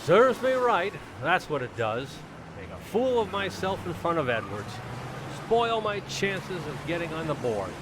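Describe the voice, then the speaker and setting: A man's voice: fairly high pitch, very animated, measured pace, noisy background. A man playing an eager, self-reproaching family man in a vintage-style radio comedy, with an earnest, theatrical and easily flustered delivery. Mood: rueful. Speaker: community theater actor.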